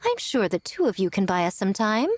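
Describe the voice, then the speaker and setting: A woman's voice: medium pitch, big pitch swings, fairly quick. A woman voicing a sly, self-assured fox shrine woman in a video game, poised, teasing and eloquent. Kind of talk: scripted video game voice acting. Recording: music behind the voice.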